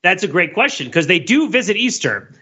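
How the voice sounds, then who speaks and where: A man's voice: high-pitched, very animated, fairly quick, bright, forceful tone, breathy edge, highs cut off. A man hosting a podcast, talking casually in a rambling, analytical way. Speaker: podcast host. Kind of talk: casual discussion of a children's TV special.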